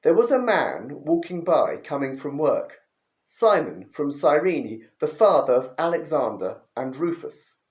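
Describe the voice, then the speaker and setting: A man reading a chapter of the Bible aloud for a church, slowly and expressively. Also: on the high side, very animated, a little mellow, highs cut off. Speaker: scripture reader. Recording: roomy echo.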